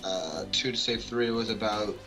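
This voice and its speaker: A man's voice: fairly low pitch, normal speed. A man co-hosting a game playthrough, chatting casually and reading text aloud. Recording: music clearly behind the voice.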